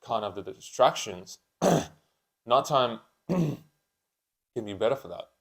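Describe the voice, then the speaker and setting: A man's voice: mid-range voice, big pitch swings, slow, gravelly. A man in his twenties chatting casually and unscripted as a podcast co-host, with blokey, sweary, slangy talk. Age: 20s.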